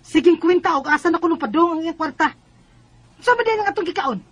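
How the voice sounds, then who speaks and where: High-voiced, nagging Bisaya mother. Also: expressive, quick pace, muffled recording. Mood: irritated, defiant. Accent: Bisaya Filipino. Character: evasive, scheming mother, unfaithful wife planning to work abroad. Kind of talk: Bisaya radio drama acting.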